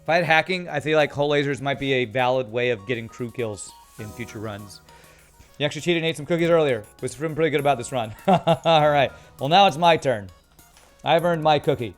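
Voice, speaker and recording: A man streaming a video game, chatting casually and reading chat aloud, with a calm voice that sounds tired. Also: mid-range voice, brisk, faint music behind. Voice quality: calm, soothing voice. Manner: chatty, reads chat aloud, laughs often. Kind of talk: casual live-stream commentary.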